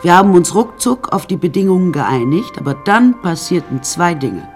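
A woman's voice: on the low side, sing-song, moderate pace, soft background music. An actress playing a flamboyant, unsinkable woman who narrates her life in a radio play in a wry, chatty, theatrical way. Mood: gossipy, amused.

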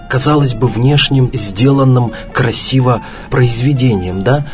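A man giving a reflective radio tribute, speaking admiringly and stressing his points by repetition. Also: medium pitch. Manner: admiring, emphatic, repeats words for stress. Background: music.

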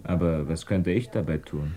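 An actor playing a wary German newcomer with dry wit in a radio play, reserved, polite and understated. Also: male voice, a bass voice, moderate pace, warm tone. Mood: probing. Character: wary, dryly witty German male newcomer at research station.

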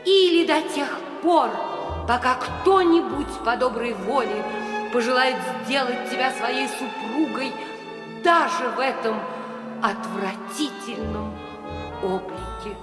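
Sad enchanted owl princess, high voice, very slow solemn delivery, fairy-tale audio play. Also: female voice. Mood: dramatic, anguished. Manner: solemn, theatrical storytelling. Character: sorrowful enchanted owl princess, young, plaintive and courtly. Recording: echoey reverberant hall, music underneath.

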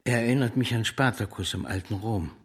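An actor playing an ardent, proud male socialist leader in a German radio drama, fervent and slightly husky. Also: fairly low pitch, a little fast.

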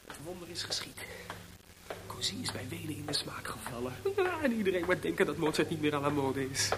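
A man acting in a radio play as an excitable, aggrieved composer short of money, stammering and exclaiming. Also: on the high side, brisk. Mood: muttering, elated. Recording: noisy radio play recording.

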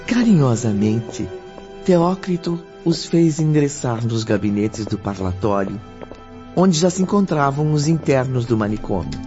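A Brazilian man narrates a radio drama from a script. His mid-pitched voice is very soft-edged and mellow, with lively intonation. Faint music plays behind. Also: measured pace, dull audio.

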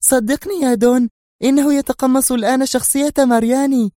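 A man voicing a nervous, sharp-tongued woman spy in an audiobook, speaking in a high, strained and urgent voice. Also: steady tone, fairly quick, dry recording, studio quality. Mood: agitated. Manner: urgent, agitated. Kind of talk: audiobook character reading.

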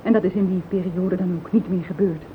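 A woman's voice: fairly low pitch, fairly even intonation, lightly acted. Tinny-sounding, fragile widowed woman, played by an actress. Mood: subdued, explaining. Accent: Netherlands Dutch. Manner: halting, pleading, repeats words. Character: fragile, lonely widowed woman, timid and anguished. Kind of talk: radio drama acting. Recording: tinny, noisy old radio recording.

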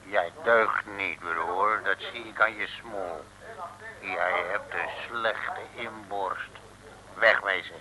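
An actor playing a big, gruff French strongman in an old Dutch radio serial, blustering one moment and floridly pompous the next. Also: deep voice, fairly even intonation, takes it slowly, pushed and bright, exaggerated voice, rough recording. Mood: belligerent. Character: big gruff French strongman, rough brawler turned fawning admirer. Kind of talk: early-1960s Dutch radio serial acting. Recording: old radio recording, faint hiss.

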